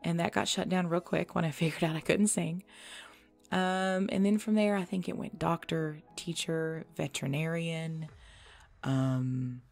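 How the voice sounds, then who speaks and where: Low-pitched woman chatting, a little gravelly. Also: fairly even intonation, unhurried, a little mellow. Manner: informal, chatty, self-deprecating. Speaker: audio creator answering listener questions. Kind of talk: casual Q&A chat. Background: background music.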